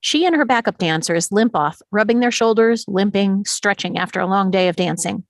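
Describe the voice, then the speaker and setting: A woman's voice: mid-range voice, normal speed, dry recording. A woman narrating scripted stage directions at a screenplay table read.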